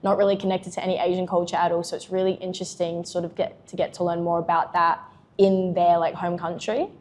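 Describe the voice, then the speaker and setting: A young woman from Serbia giving calm, thoughtful answers in an interview. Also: on the low side, fast talker, a little hiss or hum. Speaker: student at an education camp.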